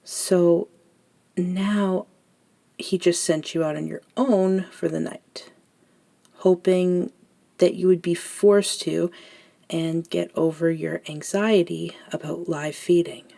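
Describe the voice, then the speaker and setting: Slow, hushed elder vampire woman, acted. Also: on the low side, fairly even intonation. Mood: calm, probing. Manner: soft-spoken, breathy near-whispers, measured pauses. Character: centuries-old female vampire elder, gentle and reflective mentor. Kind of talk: intimate ASMR roleplay monologue.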